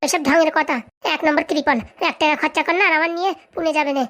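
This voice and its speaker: A woman acts out a cunning young woman in a comedy cartoon. She is high-voiced and talks at a furious pace. Her manner is brash, demanding and quick to scold. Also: fairly even intonation, a bit bright. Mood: sulky, scornful. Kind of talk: comic cartoon dialogue.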